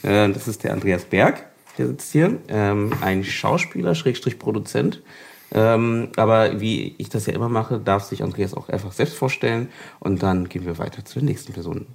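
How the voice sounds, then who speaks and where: A man's voice: on the low side, normal speed, vocal fry, slight background noise. A man hosting a podcast roundtable, introducing guests in a casual, hesitant way with many fillers. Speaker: podcast host.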